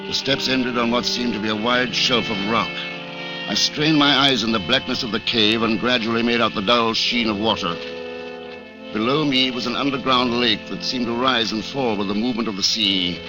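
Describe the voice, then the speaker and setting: A man's voice: mid-range voice, moderate pace, close to an everyday voice, poor audio. Casting: male actor as fugitive hero-narrator, mid-range voice, tense old radio drama delivery, music underneath. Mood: urgent. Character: hunted hero narrating his tense spy adventure. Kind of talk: 1940s radio acting, dramatic first-person narration.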